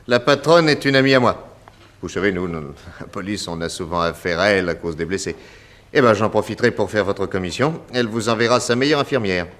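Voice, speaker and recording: A man acts a shrewd French detective from a sixties radio serial. The voice is fairly low. The delivery is brisk and smooth, with a probing edge under the reassurance. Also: fairly bright tone, close to an everyday voice. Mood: affable, probing.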